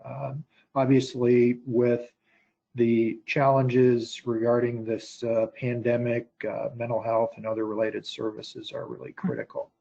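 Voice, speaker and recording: An American man relays member questions on a conference call with slightly dull, lo-fi sound. He is polite and measured, pausing hesitantly as he words each question. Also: mid-range voice, little pitch movement, creaky, mellow.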